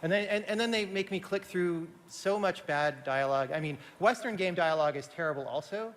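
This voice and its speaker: A man's voice: sits high, fairly quick, fairly bright tone. A man speaking critically and with firm opinions as a panelist in a live discussion. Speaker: panelist, game developer. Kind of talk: panel discussion in front of audience. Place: large indoor event hall. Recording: echoey hall, faint noise.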